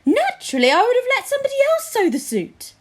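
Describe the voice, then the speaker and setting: A woman's voice: extremely high pitch, huge pitch swings, quick pace, voice pushed up, exaggerated voice. A woman voicing a vain, pampered, anxious king in a storybook, with a plummy, fussy, self-excusing manner. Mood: breathless, defensive.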